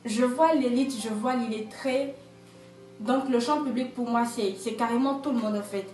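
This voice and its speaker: A woman from Benin with a somewhat high voice speaks emphatically, laying out her points one after another. She is recorded from a distance in an echoey room, with faint music underneath. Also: normal speed, darkish tone. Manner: emphatic, lists points, checks in with "d'accord". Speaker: interviewee. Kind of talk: structured explanatory talk.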